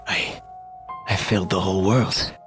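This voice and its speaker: A man's voice: sits low, steady tone, a little slow, fairly bright tone. A man voicing a brooding, sardonic hedgehog antihero in a melodramatic comedy dub. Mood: anguished. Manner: melodramatic, sarcastic. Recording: music behind the voice.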